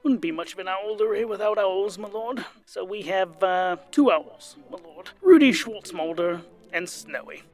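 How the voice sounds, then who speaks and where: A man's voice: sits high, animated, normal speed, darkish tone, soft background music. A man voicing a formal, patient butler, deferential and hesitant as he reports to his lord in a comic audio drama. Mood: dutiful, dry. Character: formal, patient male butler, dutifully reporting household woes.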